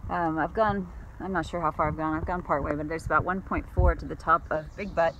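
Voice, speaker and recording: A woman's voice: deep voice, steady tone, a little fast, background noise. A middle-aged American woman, tired, talking casually as she records a trail vlog outdoors on a phone at arm's length. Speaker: thru-hiker vlogger. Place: outdoors on a wooded mountain trail.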